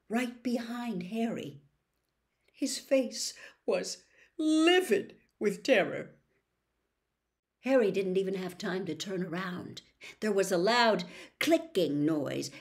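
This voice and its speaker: A woman narrating a storybook as its reader, with an expressive, suspenseful delivery. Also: a little high. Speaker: audiobook reader.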